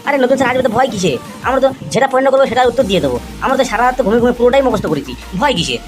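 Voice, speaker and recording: A woman's voice: fairly high pitch, lively intonation, racing, soft background music, natural voice. Cocky simple village schoolkid played by a woman. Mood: boastful. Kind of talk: amateur cartoon comedy dubbing.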